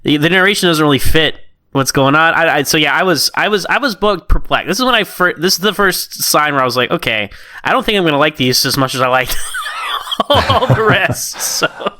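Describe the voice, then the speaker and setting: A man co-hosting a podcast in casual review chat, skeptical and halting as he works through his points. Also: a little high, lively intonation, bright, forceful tone, dry recording, studio quality. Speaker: podcast co-host.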